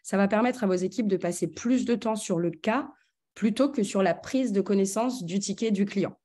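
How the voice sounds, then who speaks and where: A woman with a soft-edged, mellow voice of average pitch presents at a workshop. Her style is informal and explanatory. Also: moderate pace.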